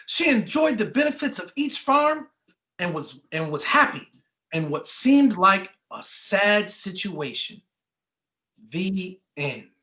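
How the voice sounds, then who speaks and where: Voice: medium pitch, big pitch swings, takes it slowly, sharp tone. An author reading a children's book aloud and quizzing the children, encouraging them, repeating their answers and checking in with "right?" and "okay". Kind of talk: interactive read-aloud with question and answer for children.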